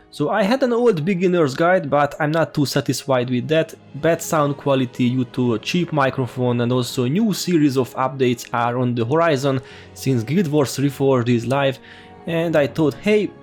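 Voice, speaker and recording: A man's voice: medium pitch, a little mellow. Hungarian-accented man guiding new gamers. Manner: friendly, explanatory, informal. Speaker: gaming YouTuber. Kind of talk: game tutorial voice-over.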